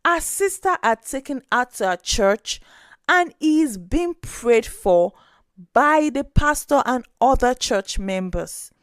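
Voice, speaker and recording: A woman narrating a scripted story in an expressive, dramatic way. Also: high-pitched, slow pace, no room sound, clean studio sound.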